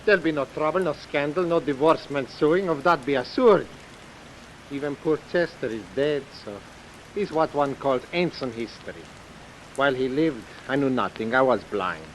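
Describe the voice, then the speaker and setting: An actor playing a jealous middle-aged foreign husband in a 1940s radio detective drama, courteous and florid in fractured English, even when threatening. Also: male voice, medium pitch, moderate pace, lightly acted, poor audio. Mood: suave, suspicious. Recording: hissy vintage radio recording, rain overlaid.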